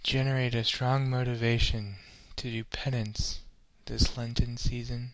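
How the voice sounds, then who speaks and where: A man leading a scripted guided meditation in a measured, solemn, instructional manner. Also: medium pitch, flat delivery, slight background noise, low-quality recording.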